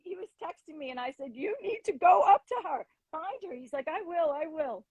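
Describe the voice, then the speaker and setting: A middle-aged former schoolteacher tells stories with excitable, gushing energy. Her voice is very high and swings widely in pitch. The sound is dry and close. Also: brisk, dry recording. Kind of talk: casual chat on a livestream.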